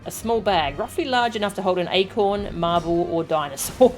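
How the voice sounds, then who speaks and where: A woman's voice: medium pitch, big pitch swings, normal speed, a little mellow. A woman streaming a video game, giving casual, playful, joking commentary as she plays. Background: music.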